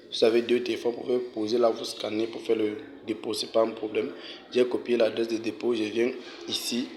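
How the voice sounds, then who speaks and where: A man hosting a casual tutorial, walking viewers through each step, often saying "ok" and "euh" and clearing his throat. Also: medium pitch, slight background noise. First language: French. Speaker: channel host giving a tutorial. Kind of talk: casual screen-recorded walkthrough.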